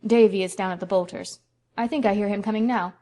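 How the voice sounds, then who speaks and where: A woman's voice: mid-range voice, fairly even intonation, dark tone, lightly acted. A woman reading a stern elderly farm woman. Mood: brisk. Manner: brisk, plain-spoken. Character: stern reserved woman in her sixties.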